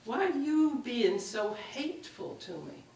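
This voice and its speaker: Actress as haughty wounded lady, slow and expressive. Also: fairly high pitch, gravelly, full character voice. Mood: indignant, offended. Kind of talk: staged dramatic reading. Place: indoor live reading at a public library. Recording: echoey room, picked up from a distance.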